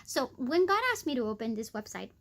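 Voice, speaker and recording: A woman hosting a weekly devotional talk, earnest and encouraging, conversational with many fillers, with a squeaky voice. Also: very animated, fairly quick, a bit bright. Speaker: host of a weekly devotional video. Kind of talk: devotional teaching.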